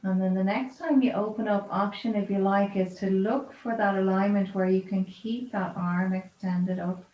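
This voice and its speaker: Echoey woman guiding gentle yoga relaxation. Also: lowish voice. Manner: gentle, soothing, unhurried, informal phrasing. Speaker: yoga and meditation teacher. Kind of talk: guided yoga relaxation instruction. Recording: roomy echo, dull lo-fi sound, clear background noise.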